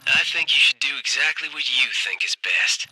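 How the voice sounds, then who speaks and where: An actor playing a bounty hunter's loyal, easygoing sidekick in a video game, plain-spoken and familiar. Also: male voice, mid-range voice, expressive, normal speed, bright, forceful tone, breathy edge, no echo, exaggerated voice, poor audio. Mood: earnest, supportive. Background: music.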